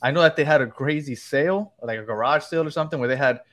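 A man hosts a casual fan podcast with a slightly high voice. He chats loosely, jokes with his co-hosts and restarts his sentences as he goes. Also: quick pace, fairly bright tone. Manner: chatty, joking, loose restarts. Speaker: podcast host.

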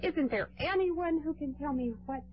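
A woman's voice: high voice, normal speed, lightly acted. An actress playing a helpless, melodramatic lady shopper in an old radio advert. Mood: indignant, helpless. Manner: plaintive, melodramatic. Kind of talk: vintage radio ad acting. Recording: dull old radio broadcast.

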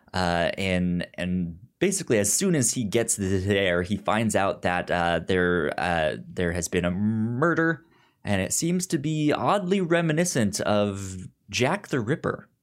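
A man co-hosting a podcast in casual chat, summarizing and reviewing in a halting way, often stammering and repeating words. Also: deep voice, animated. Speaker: podcast co-host.

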